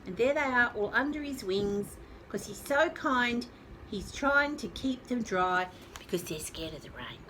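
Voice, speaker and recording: A woman's voice: a little high, animated, a little slow, pushed and bright, slight background noise, rough recording. A woman storyteller reading aloud expressively to small children, with chatty asides along the way.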